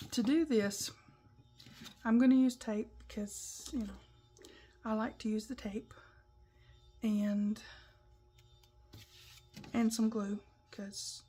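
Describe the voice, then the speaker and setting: A woman hosting a papercraft tutorial, talking through each step in a chatty, instructive way while a little croaky. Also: fairly high pitch, darkish tone, a little hiss or hum. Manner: chatty, instructive, talks while working with hands. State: croaky, clearing her throat. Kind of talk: casual step-by-step craft demonstration.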